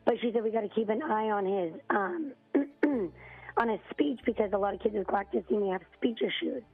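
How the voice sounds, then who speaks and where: A hoarse mother in her thirties calling in to a radio show, telling her story haltingly with many ums and throat-clearing. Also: mid-range voice. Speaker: parent on a radio call. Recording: tinny phone line.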